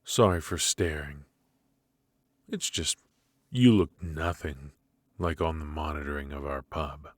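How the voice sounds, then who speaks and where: A man playing a teasing, menacing mafia boss in an ASMR roleplay, speaking in a halting, mock-polite, softly taunting way. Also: extremely low pitch, dry recording. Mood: flirtatious, intrigued.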